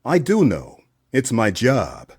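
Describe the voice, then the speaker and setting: A man's voice: mid-range voice, wildly swooping pitch, slow pace, darkish tone, husky, exaggerated voice. A man playing a wry, cynical hard-boiled detective in a noir parody radio play, dry and sardonic.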